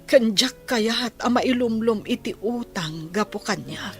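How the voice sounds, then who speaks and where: A woman playing a poor, blind, long-suffering mother in a radio drama, speaking softly and with resignation. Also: a little high, animated, unhurried, soft background music. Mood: resigned. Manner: soft, resigned, apologetic.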